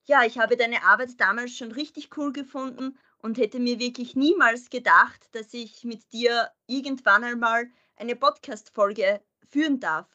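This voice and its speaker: A woman's voice: a little high, unhurried, few pauses, bright, forceful tone, muffled recording. A young woman hosting a podcast who asks her guest chatty questions. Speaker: podcast host. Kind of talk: casual podcast interview.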